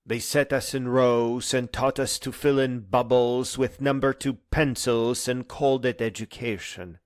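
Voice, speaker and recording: A man delivering a scripted spoken-word monologue in a solemn, deliberate way with poetic phrasing and pauses. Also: medium pitch, fairly even intonation, no room sound, studio quality.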